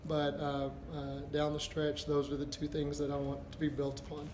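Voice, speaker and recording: A middle-aged man, a basketball head coach, answering postgame press questions in a halting, thinking-aloud way. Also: fairly high pitch, monotone. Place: indoors before a backdrop, a step from camera. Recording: echoey room with background noise.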